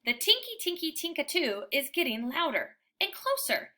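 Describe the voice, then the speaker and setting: A woman narrates a children's book with dramatic, deliberate phrasing. Her voice is fairly high and bright, and it moves through a wide range of pitch as she tells the story. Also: very animated. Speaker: read-aloud storyteller.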